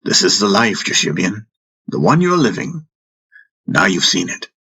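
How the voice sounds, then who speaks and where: A man's voice: on the low side, sing-song, measured pace, bright, forceful tone, husky, heavily acted. A man voicing a wise, teasing and stern spiritual master in a fantasy audiobook, chiding in a theatrical way. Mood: stern, probing.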